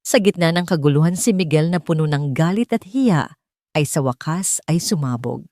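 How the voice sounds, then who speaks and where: Female narrator for dramatic fiction, lower-pitched voice, close dry recording. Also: animated, moderate pace, a little mellow, no room sound. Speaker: story narrator.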